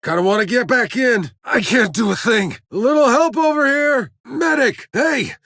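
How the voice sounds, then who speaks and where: Husky, sardonic game soldier. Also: male voice, very high voice, moderate pace, bright, forceful tone, no room sound, exaggerated voice. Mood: strained, calling out. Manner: sardonic, swearing, dry wisecracks. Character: gruff, wisecracking male sci-fi soldier, cynical and tough. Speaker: video game player character voice. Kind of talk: scripted video game voice lines and combat barks.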